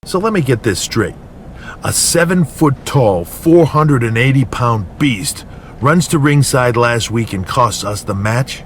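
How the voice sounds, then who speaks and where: A man's voice: mid-range voice, animated, measured pace, a little hiss or hum, lightly acted. A man playing an incredulous, indignant pro wrestler in a video game cutscene, firing rhetorical questions at his partner.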